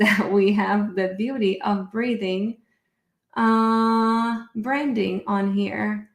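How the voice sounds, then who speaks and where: A middle-aged woman from Brazil, a native Portuguese speaker, hosting an informal live online lesson in a chatty, animated way that addresses viewers directly. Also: mid-range voice, vocal fry. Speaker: course instructor and webinar host.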